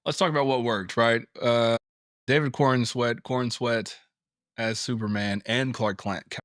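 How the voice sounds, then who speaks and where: Animated man on a dry podcast mic. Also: mid-range voice, unhurried, dry recording. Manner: animated, laughs often, swears casually. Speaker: podcast co-host. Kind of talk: casual chat.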